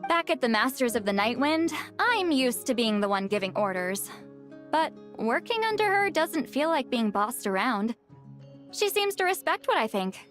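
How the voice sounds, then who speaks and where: A woman's voice: sits high, very animated, moderate pace, fairly bright tone, faint music behind. An actress playing a reserved, frank young woman in a video game, speaking in a casual, slightly hesitant way.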